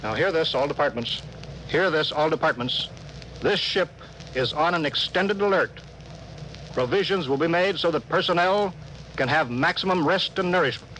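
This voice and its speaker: Commanding space captain, deliberate, fairly high male voice, vintage radio drama, noisy old broadcast. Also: expressive, fairly bright tone. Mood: stern, deliberate. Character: stern, authoritative male starship captain. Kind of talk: 1950s radio drama acting.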